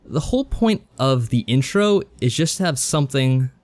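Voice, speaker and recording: A man's voice: mid-range voice, animated, moderate pace. A man co-hosting a podcast, talking through his review in a casual, conversational style. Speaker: podcast co-host.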